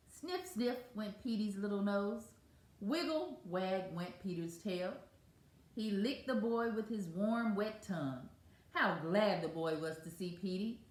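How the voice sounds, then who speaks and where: A woman reading a children's picture book aloud as an expressive storyteller. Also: mid-range voice. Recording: echoey room.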